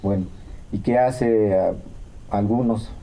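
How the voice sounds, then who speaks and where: A man's voice: lowish voice, steady tone, slow pace, dark tone, a little hiss or hum, low-quality recording. A man, a radio guest, speaking plainly and hesitantly, often restarting his phrases. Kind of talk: radio interview answer.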